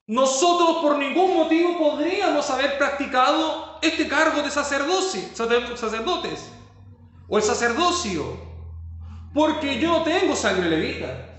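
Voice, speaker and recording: Male pastor, high voice, emphatic sermon delivery, heavy room echo. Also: animated, unhurried, a bit bright. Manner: preaching with rising emphasis and rhetorical questions.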